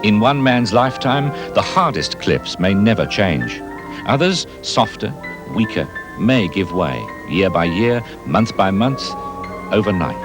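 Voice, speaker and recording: A man giving dramatic scripted narration, deliberate, with pauses between short phrases. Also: fairly low pitch, very animated. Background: mallet percussion music.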